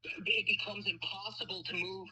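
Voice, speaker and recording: A man's voice: high voice, flat delivery, normal speed, bright, forceful tone, breathy edge, low-quality recording. A man chatting casually and bluntly about politics over a patchy speakerphone call. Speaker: guest, podcaster.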